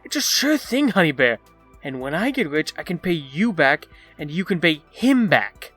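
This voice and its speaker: A man's voice: on the high side, very animated, normal speed, fairly bright tone, breathy edge, soft background music, full character voice. A man playing a cocky, dim young schemer in a comic dub, brash and pushy. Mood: wheedling, cocky. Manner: brash, taunting, wheedling. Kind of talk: amateur comic dub acting.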